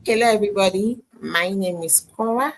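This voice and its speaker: A woman's voice: medium pitch, moderate pace, rough recording. A woman from Nigeria, a guest in a live chat, telling her story earnestly and often repeating herself.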